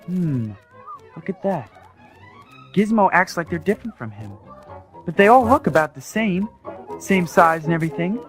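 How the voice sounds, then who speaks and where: A man's voice: high-pitched, expressive, moderate pace, long pauses, faint music behind. An actor playing an earnest, friendly young man who looks after pets, in a storybook audio drama. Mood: curious, playful.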